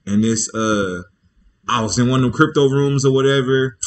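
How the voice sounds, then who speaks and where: A man's voice: medium pitch, moderate pace. A man hosting a casual livestream, talking in a loose, slangy way, rambling and checking in with his listeners as he goes. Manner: loose and slangy, with frequent "you feel me" check-ins; rambling, thinking aloud with restarts. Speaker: livestream host.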